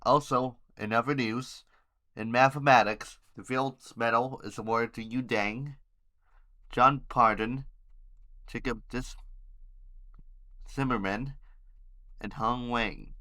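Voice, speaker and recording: A man with a bright, forceful voice at a middling pitch hosts a homemade news podcast. He reads headlines haltingly, trips over words and names, and slips in informal asides. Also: fairly even intonation.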